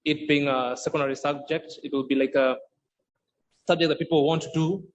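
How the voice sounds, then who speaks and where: A man's voice: fairly high pitch, fairly even intonation, fairly quick. A man explaining how he teaches Swahili in a podcast interview, earnest and often restarting sentences as he searches for words. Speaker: Swahili course creator and instructor. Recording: dull lo-fi sound with faint noise.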